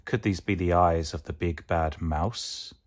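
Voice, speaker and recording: Low-voiced man reading a children's story. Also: a little slow. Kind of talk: expressive rhyming storybook narration.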